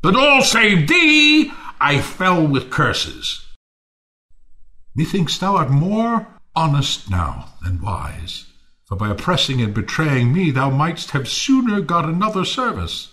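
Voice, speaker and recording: A ruined, misanthropic lord in a Shakespearean audio play. His voice is high and forceful, leaping across a wide range as he declaims with a wild, unstable temper. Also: wildly swooping pitch, takes it slowly, exaggerated voice. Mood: suspicious. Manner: declamatory, volatile, swinging from murmurs to shouts. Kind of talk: Shakespearean stage-style audio drama acting.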